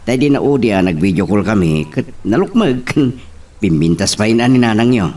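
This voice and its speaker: A man's voice: lowish voice, big pitch swings, normal speed, darkish tone, distant mic. A man playing an easygoing middle-aged father in an audio drama, with an Ilocano accent. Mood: content, reassuring. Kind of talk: Ilocano radio-style drama acting.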